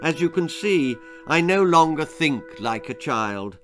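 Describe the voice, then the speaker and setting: A man plays a storybook toddler girl who talks like a stern grown-up. She is prim, haughty and curt, with a slightly raised pitch and music underneath. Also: expressive, unhurried, a bit bright. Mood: cool, formal. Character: precocious, haughty, stern two-year-old girl genius.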